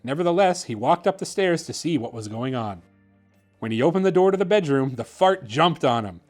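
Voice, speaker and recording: Higher-voiced man reading a children's storybook. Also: lively intonation, fairly quick, a little mellow, soft background music. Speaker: host reading children's books aloud. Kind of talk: children's bedtime storybook narration.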